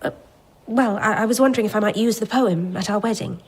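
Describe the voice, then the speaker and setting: An actress playing a respectful young pupil in an audio drama, speaking shyly and with deferential hesitation. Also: female voice, mid-range voice, quick pace, husky, close to an everyday voice. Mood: shyly eager.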